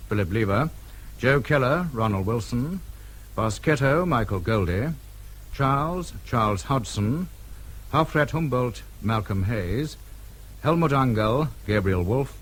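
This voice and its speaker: A man's voice: mid-range voice, fairly bright tone. A man with a British accent reading formal scripted cast credits as a radio announcer.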